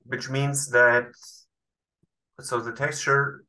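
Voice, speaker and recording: Guy interviewing someone on a podcast, crisp bright voice, casual, pauses to find his words. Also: medium pitch, level tone, slight background noise.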